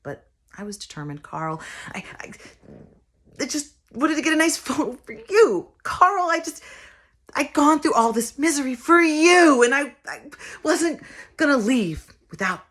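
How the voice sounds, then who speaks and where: A woman playing a harried, exasperated stepfather in a theatrical monologue, telling the story in a halting, conversational way. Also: high-pitched, sing-song, a bit bright, pitched above the performer's own voice, exaggerated voice. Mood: distraught, pleading. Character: harried, exasperated stepfather George recounting a Santa disaster.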